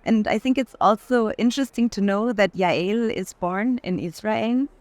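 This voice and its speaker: A woman in casual, reflective conversation about art, speaking haltingly with plenty of filler "like". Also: medium pitch, a little mellow. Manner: halting, frequent use of "like". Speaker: curator in conversation. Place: art fair. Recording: noisy fair hall ambience.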